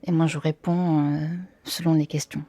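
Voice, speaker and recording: Mellow young Syrian woman, low-pitched. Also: level tone, moderate pace, dry recording. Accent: Syrian Arabic. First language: Arabic. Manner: hesitant, searching for words. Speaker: interviewee, theatre performer and film worker. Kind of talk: casual interview answers.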